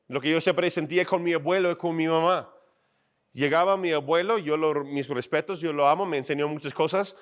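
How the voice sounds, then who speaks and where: A middle-aged man preaching a sermon to his church in a chatty, animated way, acting out short scenes and checking in with his listeners. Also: on the high side. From outside Mexico. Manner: conversational, animated, acts out little scenes and asks "¿no?". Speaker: pastor. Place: church stage.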